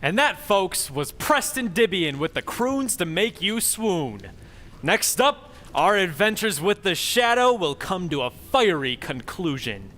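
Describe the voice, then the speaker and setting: An actor playing a showy old-time radio announcer and narrator with a polished, theatrical delivery. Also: male voice, high-pitched, sing-song, unhurried, pushed and bright, heavily acted. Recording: reverberant hall.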